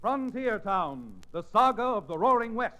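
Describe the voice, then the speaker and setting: A man's voice: extremely high pitch, expressive, moderate pace, over music. A man announcing a 1940s radio show from a script in a dramatic, declamatory manner. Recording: restored old radio recording.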